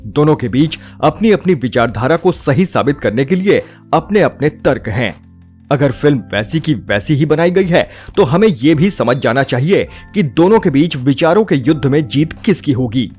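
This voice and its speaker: A man's voice: fairly high pitch, lively intonation, normal speed, a little mellow, breathy edge. A man narrates a scripted film review with emphatic, rhetorical phrasing. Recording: music bed under the voice. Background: background music.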